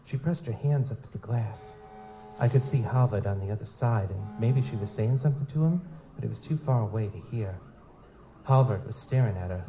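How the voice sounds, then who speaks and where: An actor playing a world-weary, cynical private investigator in a live noir audio drama, wry and slangy in manner. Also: male voice, mid-range voice, brisk, warm tone, soft background music, natural voice, rough recording. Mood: brooding, wry. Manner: wry, slangy, hard-boiled. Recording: live convention performance, clear background noise.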